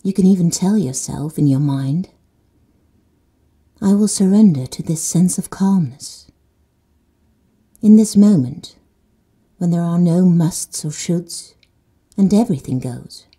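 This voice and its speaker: A woman guides a sleep meditation in a very mellow, breathy voice set slightly low. She speaks slowly and soothingly and sometimes drops to a hushed whisper. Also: expressive. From Netherlands. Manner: slow and soothing, dropping to soft whispers.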